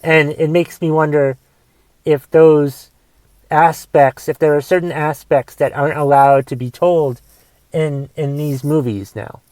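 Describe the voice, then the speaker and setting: A man in his forties with a raspy voice from a cough, casually rambling through a movie review on his podcast and thinking aloud with lots of fillers. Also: a little high, steady tone, measured pace, darkish tone, no echo, low-quality recording. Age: 40s. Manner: thinks aloud, musing questions, trailing fillers. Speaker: podcast host.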